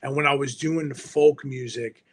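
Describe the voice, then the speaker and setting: A New man talks in a loose, slangy way, throwing in "yo" and curses. His voice is husky and a bit breathy, sitting at an ordinary pitch for a man. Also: normal speed. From Hudson County, New Jersey. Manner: slangy, animated, heavy swearing and "yo". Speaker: musician guest. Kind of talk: casual podcast chat.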